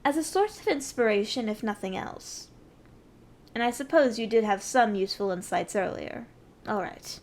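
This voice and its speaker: A woman's voice: a little high, moderate pace, natural voice. A woman playing a haughty, condescending evil sorceress queen in an ASMR roleplay, with an imperious, theatrical and mocking delivery. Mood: pensive, scheming.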